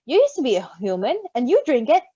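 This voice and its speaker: A woman's voice: high voice, wildly swooping pitch, quick pace, dark tone, breathy edge, no room sound, highs cut off, heavily acted. A woman voicing an insistent, protective male dryad in a storybook reading.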